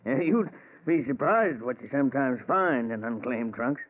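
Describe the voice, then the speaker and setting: A man's voice: mid-range voice, animated, moderate pace, close to an everyday voice. An actor playing a folksy, chatty, plain-spoken delivery man in 1940s radio drama style. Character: affable, plain-spoken working-class male delivery man. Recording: tinny 1940s radio recording.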